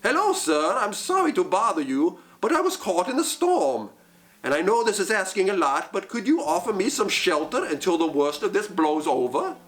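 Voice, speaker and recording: A man's voice: sits high, big pitch swings, moderate pace, a bit bright. Brief: a man doing a weary, apologetic traveler on a bike, slightly raised voice. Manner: polite, apologetic. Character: weary touring cyclist, polite and sheepish.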